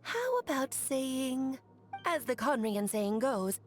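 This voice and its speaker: An elegant, knowing game lady, speaking in a high, very expressive voice. She is measured, refined and playfully teasing, with faint music behind her. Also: exaggerated voice. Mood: teasing, composed. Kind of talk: video game voice acting.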